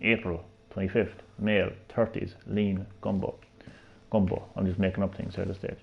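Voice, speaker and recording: A man's voice: deep voice, little pitch movement, moderate pace, vocal fry, slightly rough, dull audio. A man in his thirties with a Cork Irish accent, chatting to his viewers as he streams a game. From Ireland. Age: 30s. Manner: chatty, jokey, talks to chat. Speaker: live streamer. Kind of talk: live gameplay commentary.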